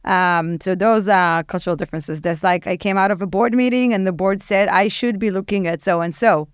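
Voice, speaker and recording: A woman's voice: medium pitch, normal speed, clean tone, dry recording, studio quality. A woman, speaking as a podcast guest in a candid, chatty way with many fillers. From Israel. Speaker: podcast guest, nonprofit executive director. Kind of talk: casual interview talk.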